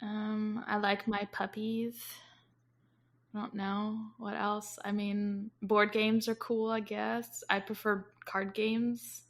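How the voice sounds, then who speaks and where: A woman's voice: mid-range voice, flat delivery, takes it slowly. A woman from Brazil co-hosting a book podcast, talking casually and hesitantly with sighs. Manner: hesitant, self-deprecating with sighs and laughs. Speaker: podcast co-host. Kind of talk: casual chat.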